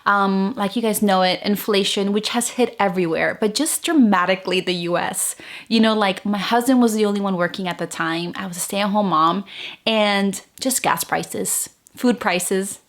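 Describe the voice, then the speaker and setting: A woman's voice: mid-range voice, moderate pace. A woman from Venezuela in her thirties chats casually to her channel. She talks in a chatty way, with many fillers and restarts. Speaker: vlogger. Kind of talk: casual chat to camera.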